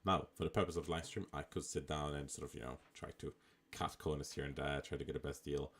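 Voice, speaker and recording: Very deep, raspy-voiced man. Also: fast. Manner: relaxed, chatty, with fillers. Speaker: streamer. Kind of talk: casual live gameplay commentary.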